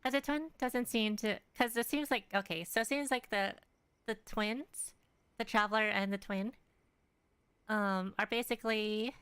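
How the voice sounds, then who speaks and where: A woman's voice: fairly high pitch, fairly even intonation, unhurried, a bit bright, clean tone, no room sound. A woman doing casual game commentary, thinking aloud in a hesitant, rambling way. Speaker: game streamer.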